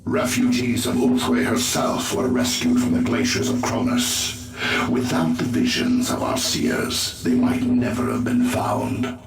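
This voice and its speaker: A man playing a solemn elven alien seer-commander in a video game, with formal, grave, measured delivery. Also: on the low side. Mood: solemn, defensive. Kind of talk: video game cutscene acting. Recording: roomy, distant voice over game music.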